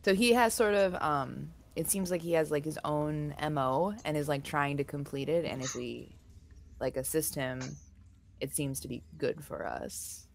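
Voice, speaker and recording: Low-voiced woman playing a tabletop game. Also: measured pace, vocal fry, slight background noise. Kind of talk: casual chat.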